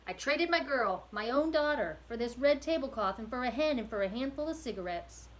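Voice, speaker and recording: A woman voicing a gruff old male prisoner, a cow thief, with loud, rough, rustic speech. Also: fairly high pitch, expressive, fairly quick, pitched above the performer's own voice, close to an everyday voice. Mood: confessing.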